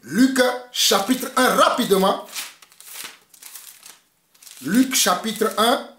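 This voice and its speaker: High-voiced fiery male preacher. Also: animated, drawn-out words, sharp tone, reverberant, slight background noise. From Togo. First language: Mina. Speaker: pastor. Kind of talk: preaching sermon.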